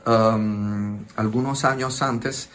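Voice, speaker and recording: A man's voice: fairly low pitch, fairly even intonation, very slow, a bit bright, slight background noise. A man, an Italian priest with an Italian accent, speaking Spanish as a second language and telling his testimony in a hesitant way, often searching for words. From Italy. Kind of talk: radio interview testimony.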